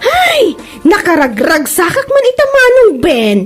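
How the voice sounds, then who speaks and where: A woman performs an aggrieved market seller with a very high voice and an Ilocano accent. She is quick-tempered and fretful, in the manner of a comedy radio drama. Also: huge pitch swings, soft background music, exaggerated voice. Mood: resentful.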